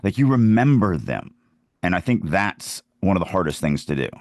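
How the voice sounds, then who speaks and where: A man's voice: low voice, very animated, normal speed, darkish tone, no room sound, studio quality. A man in casual conversation as a podcast co-host, speaking emphatically and repeating phrases to make a point. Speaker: writer co-hosting a writing podcast. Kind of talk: casual conversational writing discussion.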